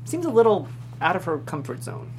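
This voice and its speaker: Woman playing sassy, blunt teen best friend, lively. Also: lowish voice, a little fast, a little hiss or hum. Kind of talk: table read of a TV comedy script.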